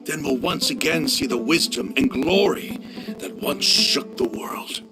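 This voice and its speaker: A man playing an easygoing, confident desert dweller in an anime game, with a relaxed, wry manner. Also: medium pitch, a little slow, gravelly, loud music behind the voice, low-quality recording. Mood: solemn.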